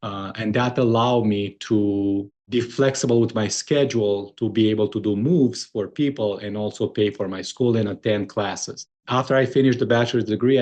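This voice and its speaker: A middle-aged man from Romania with a Romanian accent, speaking earnestly as an interview guest, often pausing on "uh" and restarting his sentences. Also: fairly low pitch, darkish tone. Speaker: guest, moving company founder. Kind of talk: conversational interview.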